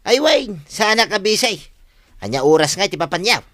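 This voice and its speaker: A man with a northern Filipino accent playing a henpecked middle-aged village husband, animated and complaining, in a comedic radio drama. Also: high-pitched, normal speed, no echo, full character voice. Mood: eager.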